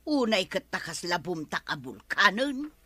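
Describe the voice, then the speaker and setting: A woman playing an excitable, exclamatory middle-aged village auntie in a comedic radio drama, with an Ilocano accent. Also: on the low side, unhurried, slightly rough, exaggerated voice. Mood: amused, curious. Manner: exclamatory, animated.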